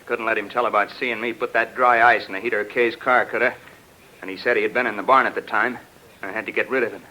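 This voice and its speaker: An actor playing a cold, gloating murderer with a menacing, tough-talking manner, in 1940s radio crime drama style. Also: male voice, fairly low pitch, steady tone, fast talker, bright, forceful tone, poor audio. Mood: smug menace. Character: cold, gloating murderer holding the detective at gunpoint. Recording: old radio broadcast recording.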